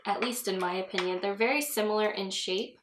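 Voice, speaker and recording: Young woman, beauty vlogger, chatty and giggly, slightly stuffy nose, mid-pitched voice, echoey room. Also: brisk, background noise. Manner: chatty, giggly, rambling asides. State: stuffy from a cold. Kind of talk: makeup tutorial chat.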